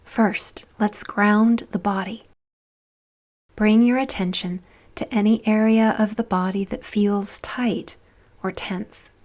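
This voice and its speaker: A woman narrating a guided visualization in a soft, soothing, deliberate voice with breathy phrase endings and long pauses. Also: medium pitch, fairly even intonation.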